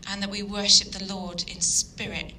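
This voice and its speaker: A woman from Yorkshire with a Yorkshire accent giving an earnest evening sermon, full of ums and pauses. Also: medium pitch, little pitch movement, pushed and bright, a little hiss or hum. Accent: Yorkshire English. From Yorkshire, England. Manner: earnest, hesitant with frequent ums. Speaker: guest speaker.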